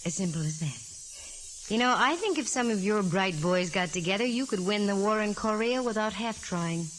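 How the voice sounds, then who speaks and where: An actress playing a wry, self-assured, quick-witted female private eye in a 1940s radio drama. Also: mid-range voice, lively intonation, natural voice, poor audio. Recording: vintage 1949 radio recording, hiss.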